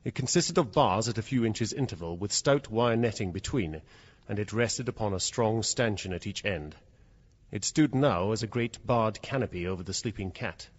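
A man's voice: fairly low pitch, normal speed, breathy edge. An actor playing a formal, intense man in mortal fear, telling his story in a radio drama, on a dull, noisy recording. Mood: hushed dread. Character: terrified male narrator trapped with a predatory cat. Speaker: narrator and lead character.

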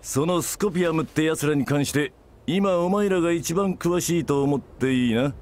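An actor playing a gruff, blustering middle-aged father who runs a company, barking and rough-spoken in a video game cutscene. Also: fairly high pitch, moderate pace, a little mellow, a little hiss or hum, lightly acted. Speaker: game character.

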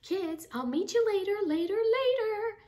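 A woman voicing urgent storybook characters calling loudly to one another over a bus radio. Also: very high voice, expressive, a little slow, recorded from a distance, voice pushed up.

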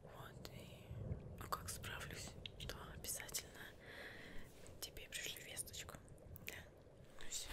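Whispering young witch seamstress played by a woman. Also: close to an everyday voice. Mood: friendly. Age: young adult. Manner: soft, unhurried, soothing, with murmured repetitions. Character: friendly, busy witch seamstress fitting a Halloween costume. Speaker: ASMR performer. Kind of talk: whispered ASMR roleplay. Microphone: close microphone.

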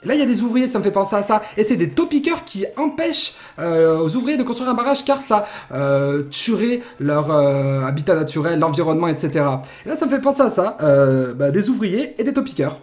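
A man's voice: high-pitched, lively intonation, brisk, hardly any pauses. A young man with a cold giving playful, jokey commentary while playing a video game at his desk. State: has a cold. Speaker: Let's Play host. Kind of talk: casual gameplay commentary. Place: indoor room at desk.

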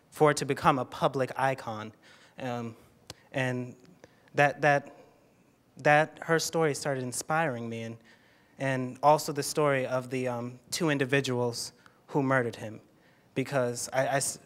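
A young American man answers a question on stage in a medium-pitched voice. He is reflective and hesitant, piecing his thoughts together as he goes. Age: 20s. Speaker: actor answering on a panel. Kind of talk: thoughtful spoken answer to an audience. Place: auditorium stage. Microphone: handheld stage microphone.

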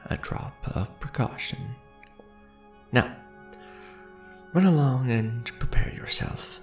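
An ancient vampire lord played by a man speaks in a heavily creaky voice that swings widely in pitch. He is formal and grandiose, a devoted yet ruthless aristocrat in an ASMR roleplay. Faint music sits behind his gravelly words. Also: full character voice. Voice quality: deep, gravelly. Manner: formal, grandiose, deliberate phrasing with pauses.

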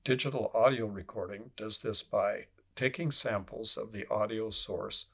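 A man reading scripted instructional narration in a measured, formal way, as an instructor would. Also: fairly low pitch, vocal fry, slightly rough.

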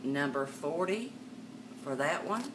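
Older woman host, low voice, chatty live-sale patter, webcam a bit far off with room noise. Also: expressive, slow pace. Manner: chatty, calls viewers darling and miss. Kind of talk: live sales stream, chatting to viewers. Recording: distant mic with background noise.

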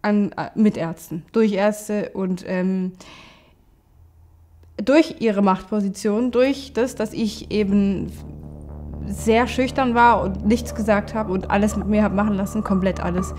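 A young adult woman telling her cancer experience in an earnest, halting way. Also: mid-range voice, fairly even intonation, a little mellow, faint music behind. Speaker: cancer patient. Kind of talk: personal testimony.

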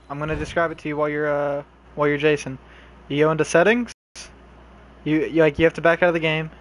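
A young man chatting casually and swearing while he plays a horror game with teammates, giving quick directions. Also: a little high. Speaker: streamer playing a horror survival game. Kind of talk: casual gameplay chat with teammates. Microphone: console headset mic.

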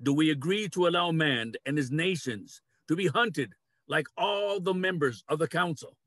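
A man's voice: a little high, moderate pace, a bit bright, no room sound. An older man playing a grave animal council member in a dramatic play reading, emphatic and formal. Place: cluttered home room, video call.

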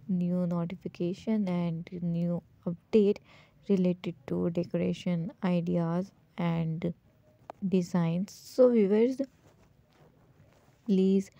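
Slow, mellow-voiced woman host. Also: on the low side, dry recording. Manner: repeats "so viewers", many "uh" pauses. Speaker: channel host. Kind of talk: slow voice-over for a home decor slideshow.

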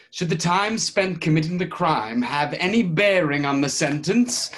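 Man playing a high-voiced, declaiming verse storyteller. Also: fairly bright tone. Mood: indignant. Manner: declamatory rhymed delivery. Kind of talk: readers' theatre verse narration. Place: indoors at home on a video call.

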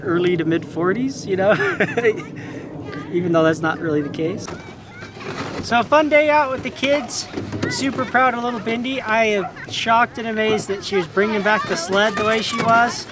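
Guy in his 30s doing a casual dog training vlog outside, pretty high voice, noisy camera audio. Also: normal speed. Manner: animated, affectionate with animals. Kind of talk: casual outdoor vlog talk. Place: outdoors in a snowy park. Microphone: camera a few feet away.

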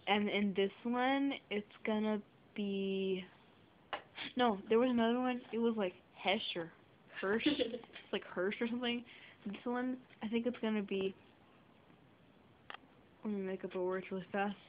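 A young girl chatting playfully in a bedroom, filming on a handheld camera, with silly drawn-out words. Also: mid-range voice. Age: child. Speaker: vlogger. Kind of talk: goofy sleepover vlog chat. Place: small bedroom at home. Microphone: handheld camera mic. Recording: muffled lo-fi camera audio with faint hiss.

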